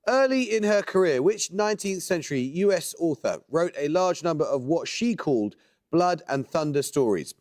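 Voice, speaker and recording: Measured male quiz show host. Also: fairly high pitch, animated, a little mellow. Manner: measured, precise delivery. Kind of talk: formal quiz hosting, reading questions.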